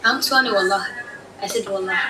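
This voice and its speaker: A woman's voice: mid-range voice, a little fast. A twenty-year-old woman chatting casually on a video call, laid-back and a little hesitant. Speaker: video chat stranger. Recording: distant noisy video call audio.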